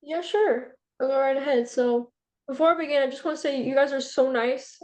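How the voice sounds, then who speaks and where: A woman's voice: high-pitched, fairly quick, darkish tone. A young woman on a student panel answering over a video call, eager and a bit flustered, with ums. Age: young adult.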